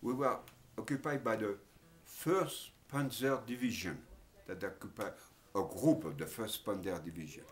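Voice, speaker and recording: An older man with a French accent, recalling his past in an interview, speaking haltingly with many pauses and fillers. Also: lowish voice. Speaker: oral history interviewee. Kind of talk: reminiscing in an interview.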